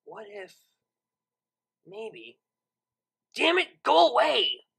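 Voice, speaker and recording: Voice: mid-range voice, sing-song, very slow, gravelly, fairly bright tone, slightly rough, voice pushed up, exaggerated voice. A narrator voicing a brash, impulsive young female unicorn DJ in an audiobook. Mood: hesitant, then frustrated.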